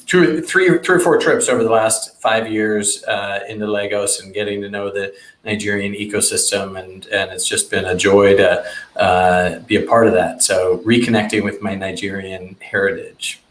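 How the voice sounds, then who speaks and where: A man hosting a casual interview over a video call, speaking haltingly and often restarting his phrases. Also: fairly low pitch, steady tone. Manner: halting, restarts and repeats words. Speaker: interview host. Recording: roomy echo on a video call.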